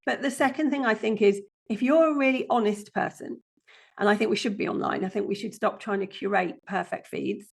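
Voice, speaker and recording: A woman speaks with a croaky, chesty voice that has a gravelly, fry-laden texture. She talks bluntly and down to earth, her pitch sitting in an ordinary middle range. She sounds like a guest on a casual podcast interview. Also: brisk. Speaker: guest, passive income strategist.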